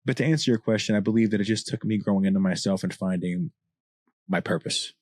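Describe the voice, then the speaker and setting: A man with a deep voice, a rapper talking as a podcast guest, passionate, swearing often and rambling in long answers. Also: fast talker, a little mellow, no echo, studio quality. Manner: passionate, profane, long-winded. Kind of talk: casual candid chat.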